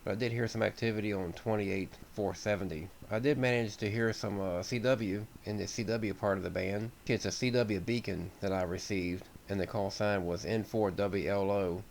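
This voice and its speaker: A man's voice: on the low side, steady tone, moderate pace, vocal fry, few pauses, slight background noise. An American man giving an informal, folksy repair walkthrough as a radio hobbyist, thinking aloud without a script.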